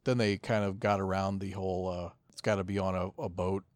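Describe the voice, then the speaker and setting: Low-voiced, rambling male podcast co-host. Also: little pitch movement, a little fast, no room sound. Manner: rambling, profane. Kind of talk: casual chat.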